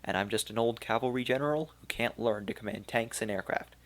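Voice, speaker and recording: A man voicing an elderly, weary archaeologist of Turco-German origin, speaking slowly and regretfully in a gravelly voice. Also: mid-range voice, a bit bright, natural voice. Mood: resigned, fatherly. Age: older.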